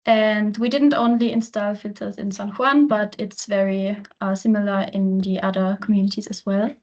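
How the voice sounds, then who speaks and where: A woman's voice: mid-range voice, fairly even intonation, moderate pace, a little mellow. Austrian woman presenting over a muffled call. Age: 20s. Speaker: student intern presenter. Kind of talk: online presentation. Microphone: video call. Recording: muffled lo-fi video call audio.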